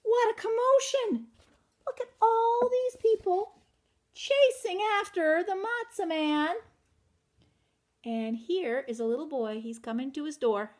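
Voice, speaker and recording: A woman reading a children's picture book aloud in an animated way, stopping to ask listeners questions. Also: very high-pitched, slow. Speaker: children's storytelling teacher.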